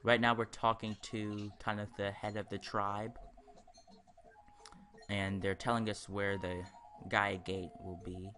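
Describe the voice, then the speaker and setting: A man's voice: lowish voice, flat delivery, slow, pushed and bright, slight background noise. A man giving casual, rambling let's-play commentary, sharing his opinions as he goes. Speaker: host of a gaming video series.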